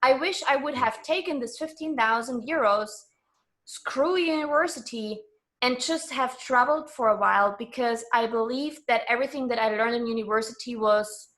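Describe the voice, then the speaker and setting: Higher-voiced woman from Germany, chatting candidly. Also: moderate pace, a bit bright. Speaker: interview guest. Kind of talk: casual interview chat.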